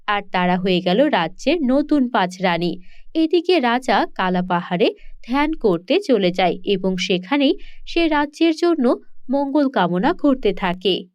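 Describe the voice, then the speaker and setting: A woman's voice: medium pitch, smooth, no room sound, muffled recording. A woman narrating a scripted fairy tale for children.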